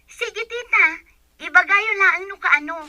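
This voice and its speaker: Courteous young college friend played by a woman, extremely high and sharp, radio-play style. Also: unhurried, dry recording, heavily acted. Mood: polite, helpful. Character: polite, obliging young female college friend. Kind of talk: Ilocano audio drama acting.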